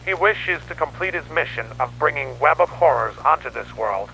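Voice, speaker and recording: A man's voice: fairly high pitch, animated, normal speed, sharp tone. A man playing a grim newspaperman who records a horror investigation log in a dramatic, grave storytelling manner. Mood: grim, ominous. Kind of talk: scripted analog horror narration. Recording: noisy radio-like tape with hum.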